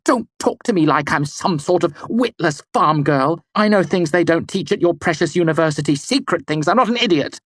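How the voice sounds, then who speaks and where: A sharp-tongued young woman bard, pitched high and pushed loud, her words scornful and heated, as a male audiobook narrator puts her on. The recording is dry and close. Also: expressive, moderate pace, hardly any pauses, no echo. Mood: furious.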